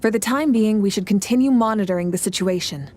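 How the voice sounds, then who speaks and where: A regal female war leader played by a woman in a video game, with a medium-pitched voice. She speaks formally and with measured authority, composed and reassuring. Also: a little mellow, slight background noise, close to an everyday voice. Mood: grave, resolute. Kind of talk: video game dialogue acting.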